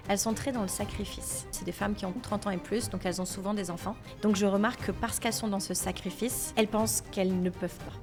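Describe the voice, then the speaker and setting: A young woman from France with a French accent, talking as a podcast guest in a lively, emphatic, convinced way about money. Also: mid-range voice, fast, hardly any pauses, music in the background. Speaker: podcast guest, financial educator. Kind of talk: casual podcast conversation.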